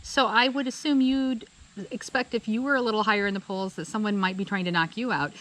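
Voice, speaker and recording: A woman's voice: mid-range voice, fairly even intonation, a little fast, a bit bright. A woman co-hosting a podcast, talking through politics casually with many fillers and restarts. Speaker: podcast co-host.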